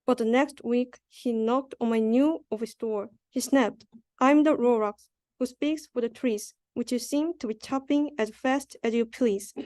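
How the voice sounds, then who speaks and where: A woman's voice: fairly high pitch, unhurried, darkish tone, no room sound. A young adult woman, a student, reading a rhyming children's story aloud. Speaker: student reader.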